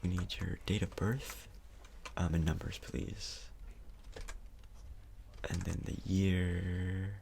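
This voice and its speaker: A man speaking softly, close to a whisper, in an ASMR doctor role-play, with a casual, hesitant, reassuring manner, close to the microphone. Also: low voice, little pitch movement, slow, creaky, pauses often, a little hiss or hum.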